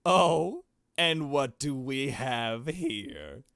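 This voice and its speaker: A man's voice: medium pitch, expressive, extremely slow, creaky, a bit bright, no echo, studio quality, full character voice. Man playing a cocky, taunting young villainess.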